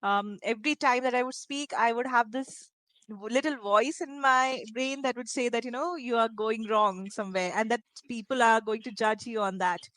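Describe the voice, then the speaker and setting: A woman's voice: a little high, moderate pace, a bit bright, dry recording, low-quality recording. A woman who speaks Malayalam as her first language, tutoring English in a one-on-one conversation lesson and talking in long encouraging turns. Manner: encouraging, talks in long flowing turns with "you know" and "right?".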